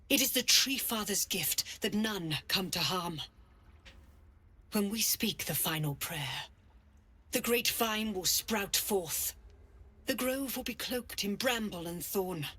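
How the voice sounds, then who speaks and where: Stern druid leader, slow, forceful, proud, solemn, fanatical, video game drama. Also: female voice, mid-range voice, expressive, slightly rough, heavily acted. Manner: imperious, deliberate, solemn.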